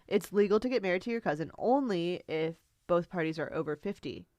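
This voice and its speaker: A young American woman co-hosting a podcast in casual chat, animated and informal, prone to giggling. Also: on the low side, fairly quick, dry recording. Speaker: podcast co-host.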